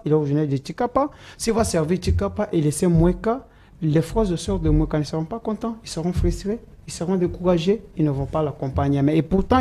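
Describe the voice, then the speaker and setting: Hesitant man, mellow tone. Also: fairly high pitch. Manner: hesitant, frequent "euh" fillers and restarts. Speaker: political supporter interviewed as guest. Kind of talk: interview answers.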